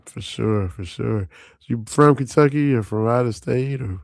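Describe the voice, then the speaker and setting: Hoarse, gravelly podcast host. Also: male voice, medium pitch, moderate pace, a little mellow, dry recording, studio quality. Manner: loose, rambling, repeats himself. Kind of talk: casual sports chat.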